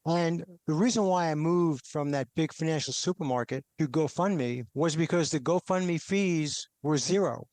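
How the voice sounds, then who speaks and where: Smooth, higher-pitched male testimonial voice. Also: a little mellow, dry recording. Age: middle-aged. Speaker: customer giving a testimonial. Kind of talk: conversational ad testimonial.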